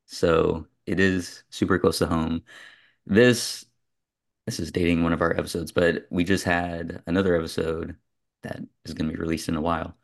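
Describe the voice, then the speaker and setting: A man's voice: deep voice, flat delivery, normal speed, vocal fry. A man hosting a podcast, talking through films in a casual, reflective and discursive way among friends. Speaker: podcast host.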